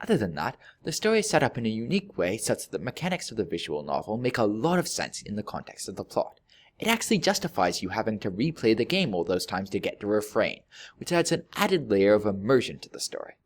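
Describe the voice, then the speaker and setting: A man narrating a scripted review in a wry, deadpan-joking manner, pausing for emphasis. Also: fairly low pitch. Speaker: video reviewer.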